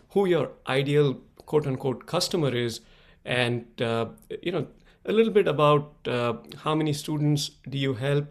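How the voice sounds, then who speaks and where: A man hosting an interview show, asking friendly, rambling questions. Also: medium pitch. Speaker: podcast host.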